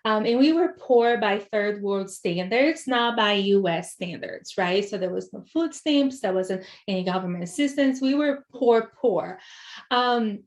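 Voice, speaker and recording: A woman's voice: mid-range voice, expressive, a little slow. A woman from Brazil with a Brazilian accent, a business coach telling her personal story in an interview, earnest and reflective with many "right?" and "um" fillers. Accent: Brazilian Portuguese. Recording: roomy echo.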